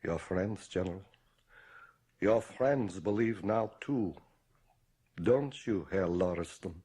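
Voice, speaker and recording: Probing gentleman investigator: grave, lowish, deliberate, pointed radio-drama delivery. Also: breathy edge, exaggerated voice. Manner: measured, pointed questioning. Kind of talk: 1980s radio drama acting.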